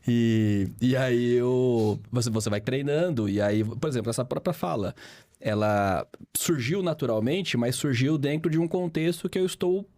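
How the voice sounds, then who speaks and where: A man's voice: medium pitch, moderate pace, dark tone. Brazilian man of fifty, explaining conversationally. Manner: didactic, explains with examples and repetition. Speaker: guest, public speaking teacher.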